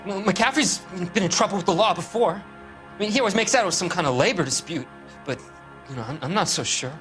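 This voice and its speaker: An actor playing a nervous, defensive young murder suspect in a video game, hesitant and pleading. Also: male voice, high-pitched, animated, a little fast, a bit bright, faint music behind. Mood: agitated. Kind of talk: dramatic video game acting.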